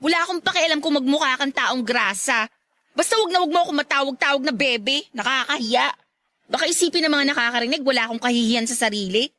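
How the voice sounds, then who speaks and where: A woman's voice: high voice, quick pace. A woman acting a feisty, disdainful young woman in a radio drama, scolding and haughty as she rebuffs a suitor. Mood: scornful. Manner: scolding, haughty, sharp-tongued.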